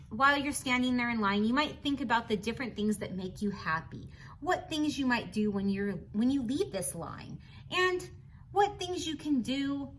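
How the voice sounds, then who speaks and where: A woman with a high voice reads a children's book aloud. Her delivery is very lively and melodic, and she speaks directly to young listeners with encouraging, teacherly asides. There is some background noise in the recording. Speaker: children's read-aloud host.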